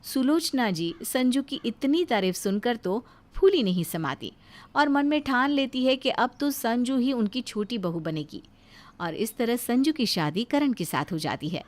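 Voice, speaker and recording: A woman's voice: fairly high pitch, lively intonation, unhurried, a little mellow. A woman narrating a scripted moral story as a storyteller.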